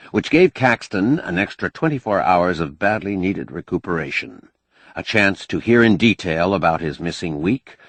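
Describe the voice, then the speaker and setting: Male fiction narrator: unhurried, expressive storytelling, slightly low husky voice, close dry sound. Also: no echo. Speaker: audiobook narrator.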